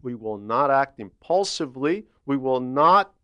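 A man reading a religious homily aloud in a deliberate, emphatic, didactic manner, with measured pauses between phrases. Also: medium pitch, expressive, bright, forceful tone, clear, resonant tone, no room sound.

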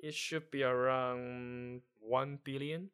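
Smooth-voiced man with a Hong Kong accent. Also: mid-range voice, steady tone, a bit bright. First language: Cantonese. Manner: halting, searching for words while explaining. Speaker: guest. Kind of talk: casual conversation.

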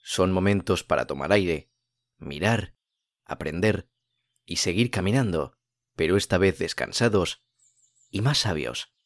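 A man narrating a guided meditation as host, speaking in a calm, soothing, unhurried way with long pauses. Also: sits low, no room sound, clean studio sound.

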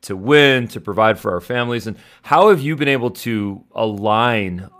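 A man's voice: lowish voice, moderate pace, fairly bright tone. A man hosting a podcast interview, introducing his guest with upbeat energy. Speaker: podcast host.

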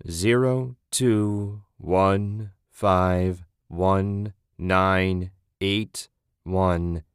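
A man voicing a chilling radio voice that drones out numbers in a slow, drawling monotone. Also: sits low, clear, resonant tone, dry recording, clean studio sound. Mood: cold, droning. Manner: droning, drawling monotone, digit by digit.

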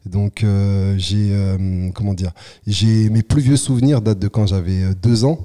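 A man from Congo, a guest artist in a casual podcast interview, speaking in a measured, reflective way with frequent pauses. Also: fairly low pitch, fairly even intonation, mellow. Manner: measured, reflective, pausing often.

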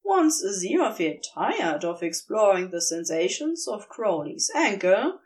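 A woman reading a fan fiction story aloud as a podfic, in a slow, expressive and intimate way. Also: medium pitch. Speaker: podfic reader. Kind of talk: scripted story narration.

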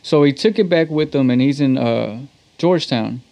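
A man's voice: medium pitch, normal speed, vocal fry, a little mellow. A man chatting casually and in slang as an interview guest, a musician by trade. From Texas. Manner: slangy, conversational. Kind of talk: casual chat.

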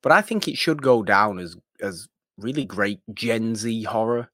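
A man's voice: lowish voice, expressive, measured pace, pushed and bright, dry recording, studio quality. A man co-hosting a podcast, casually discussing film in an emphatic way, repeating phrases and throwing out rhetorical questions. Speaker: podcast co-host.

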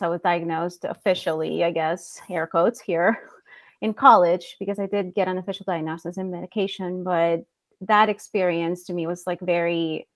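Candid woman, lowish voice, close dry sound. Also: no echo. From El Salvador. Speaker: mental health therapist. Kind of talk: casual personal storytelling.

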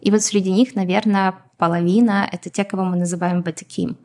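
A woman from Ukraine speaks as a podcast guest, explaining carefully and pausing often. Her pitch sits in the middle range. She talks at an unhurried pace, filling gaps with small hesitations. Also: steady tone, mellow. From Donetsk, Ukraine. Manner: measured, explanatory, many hesitations. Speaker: guest, community founder. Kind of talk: podcast interview answers.

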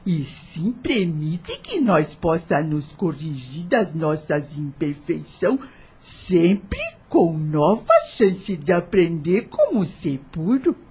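An actress playing a wise, devout old enslaved nanny in a radio drama, gentle and motherly, with a husky voice and rustic, unschooled speech. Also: lowish voice, very animated, slow pace, a little hiss or hum. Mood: earnest, devout. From taken by force from her homeland. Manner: rustic uneducated grammar, patient moral teaching.

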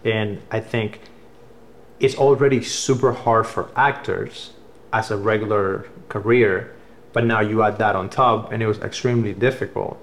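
A man's voice: fairly low pitch, steady tone, normal speed, slight background noise. A man from Cuba hosting a casual interview, asking questions and telling stories with enthusiasm. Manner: enthusiastic, storytelling. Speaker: host.

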